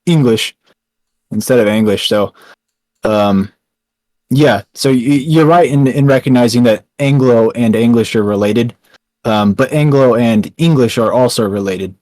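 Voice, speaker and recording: A man's voice: medium pitch, a little slow, darkish tone, no echo, rough recording. A young man with a Texan accent, answering interview questions with many ums and restarts. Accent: Texan American. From Texas, USA. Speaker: founder and writer of a small news site.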